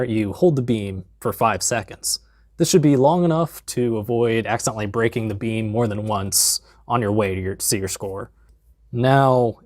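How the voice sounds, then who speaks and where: A young man in his twenties with a lapel mic in a basement, narrating a comedic DIY tutorial in a deadpan, jokey way with mumbled asides. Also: mid-range voice, dry recording, clean studio sound. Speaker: DIY maker vlogger. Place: basement workshop, indoors.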